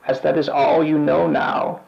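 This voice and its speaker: A man playing a bleak, weary otherworldly narrator in an analog horror piece, speaking solemnly and deliberately with a gravelly voice. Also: a little high, level tone, a little mellow, muffled recording. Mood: grim, menacing. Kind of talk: slow scripted analog horror monologue.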